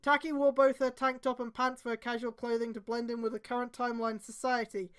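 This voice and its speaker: A woman's voice: fairly high pitch, level tone, a little fast, smooth. A woman streamer reading fan fiction aloud in a mocking, theatrical way, with exasperated, sweary asides. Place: indoors on a live stream.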